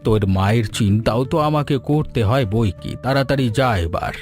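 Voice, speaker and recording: A caring old grandfather played by a man speaks in a cartoon tale at an average male pitch. He is proud and affectionate, always gently advising. Faint music runs under the voice. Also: brisk, close to an everyday voice. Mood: affectionate, reassuring. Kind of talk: animated storybook cartoon dialogue.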